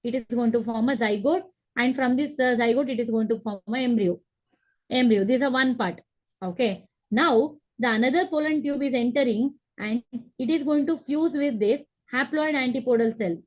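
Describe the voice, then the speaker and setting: A woman's voice: on the high side, moderate pace, a little mellow, low-quality recording. Young woman teaching biology on a video call. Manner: explains step by step, repeats key terms, asks students to confirm. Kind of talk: online class lecture. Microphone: laptop webcam on a video call.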